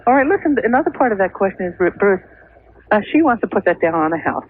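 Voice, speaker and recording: A woman's voice: medium pitch, very animated, fast. An older American woman, around sixty, chatting casually as a caller, playful and outspoken. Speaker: radio show caller. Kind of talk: casual phone chat. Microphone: telephone. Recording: thin phone line, faint noise.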